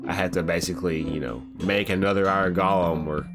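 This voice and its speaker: A man's voice: sits low, fairly even intonation, fast. A young man with a stuffy, cold-sick voice, rambling casually through gameplay commentary and often saying "bro". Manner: laid-back, rambling, says "bro" often. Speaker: gaming channel host. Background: background music.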